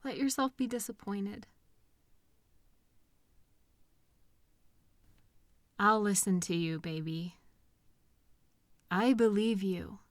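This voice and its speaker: A woman playing a caring, protective partner in an intimate comfort audio roleplay, speaking gently and earnestly in a reassuring, conversational way with hesitant pauses. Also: mid-range voice, no echo, natural voice. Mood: tender. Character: caring, protective partner consoling a hurting loved one.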